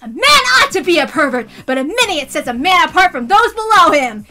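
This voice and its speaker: A woman's voice: very high-pitched, big pitch swings, brisk, bright, forceful tone, soft background music, full character voice. A woman voicing a scheming, teasing boy who makes grandiose, insistent speeches in a visual novel reading. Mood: rousing. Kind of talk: animated visual novel read-aloud.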